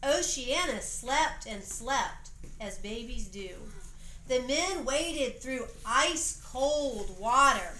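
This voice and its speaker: A woman narrating a homemade history play like a storybook, reading aloud expressively with deliberate phrasing, in a roomy home recording. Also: high-pitched, lively intonation, bright, forceful tone. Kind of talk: storybook narration for an amateur play, with informal stage directions. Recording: roomy echoey home recording, faint noise.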